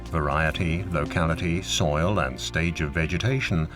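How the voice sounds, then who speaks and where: A low-pitched man narrates an educational documentary with clear music playing underneath. His delivery is scripted, measured and formal, laying out information in an orderly way. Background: music behind the voice.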